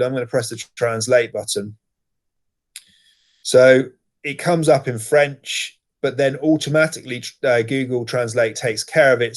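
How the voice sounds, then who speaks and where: Male teacher trainer, mid pitch, plain step-by-step screencast explainer. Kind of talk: screen-share software tutorial.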